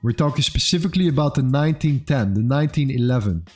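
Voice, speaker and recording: A man's voice: medium pitch, expressive, fast, darkish tone. Upbeat twenties male streamer, casual trading talk, faint music under him. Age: 20s. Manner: upbeat, says "amazing" and "bro" often. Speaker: livestream host and trader. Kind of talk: casual livestream chat and market commentary.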